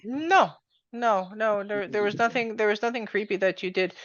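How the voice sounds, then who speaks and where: A woman coaching a student on a call, explaining calmly with frequent restarts. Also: mid-range voice, moderate pace, dry recording, muffled recording. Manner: calm, explanatory, many restarts. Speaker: dating coach.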